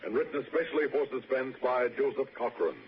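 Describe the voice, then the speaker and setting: A man's voice: medium pitch, expressive, fast talker, lo-fi sound, slight background noise. A man announcing formally in the style of 1960s network radio. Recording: old 1960s radio broadcast, band-limited.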